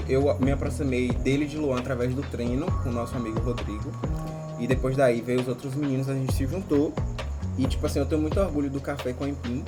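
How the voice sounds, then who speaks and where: A man, introducing himself on a podcast in a playful, joking way. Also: mid-range voice. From Brazil. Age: 20s. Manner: playful, joking, laughs often. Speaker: podcast co-host. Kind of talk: casual chat among friends.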